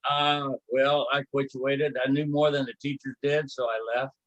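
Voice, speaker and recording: Older man, Texas accent, slow halting recollection, fillers, middle pitch. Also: level tone, a bit bright, dull audio. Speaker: martial arts grandmaster. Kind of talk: reminiscing interview.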